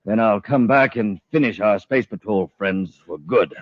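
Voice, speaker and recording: An actor playing a scheming, smooth-talking businessman villain in a 1950s radio serial. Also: lowish voice, moderate pace, slight background noise, natural voice. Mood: menacing. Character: sly, evasive businessman villain. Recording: dull old radio broadcast recording.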